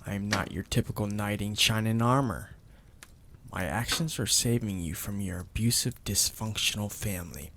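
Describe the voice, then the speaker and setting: A man playing a possessive, domineering mafia boss in an intimate ASMR roleplay, speaking deliberately and with teasing menace in a gravelly, husky, breathy voice. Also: on the low side, fairly bright tone, a little hiss or hum. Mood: brooding, stern. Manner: slow, deliberate, menacing, florid wording.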